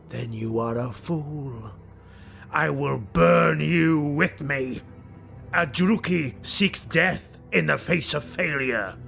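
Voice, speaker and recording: A man voicing a proud, wrathful dark elf highborn warrior in a fantasy audiobook, commanding and menacing with theatrical threats. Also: gravelly, full character voice. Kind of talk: dramatic fantasy audiobook acting.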